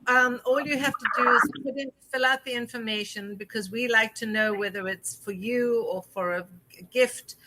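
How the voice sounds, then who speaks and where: A woman's voice: a little high, steady tone, normal speed, sharp tone, low-quality recording. A woman from South Africa hosting a call-in interview show, chatty and effusive with lots of fillers. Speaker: host.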